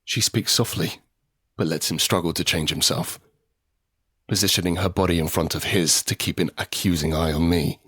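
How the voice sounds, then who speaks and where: A man's voice: low voice, steady tone, normal speed, fairly bright tone, husky. A man acting a desperate, anguished middle-aged father in a post-apocalyptic audio drama, narrating in the first person with raw intensity. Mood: grim, restrained. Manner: intense, emotionally raw, sweary. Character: desperate, anguished father surviving an apocalypse, haunted and guilt-ridden.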